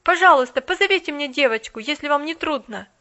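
A woman's voice: sits high, lively intonation, a little fast, bright, forceful tone, voice pushed up, heavily acted. A woman reading aloud and voicing a proud poor boy who talks urgently and tries hard to be polite. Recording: dull lo-fi recording.

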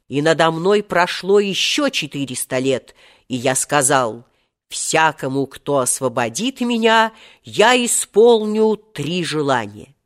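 An ancient male genie from a children's storybook, acted out by a narrator, grandiose and full of menace. He speaks in archaic, pompous phrases with a short temper, at a medium pitch.

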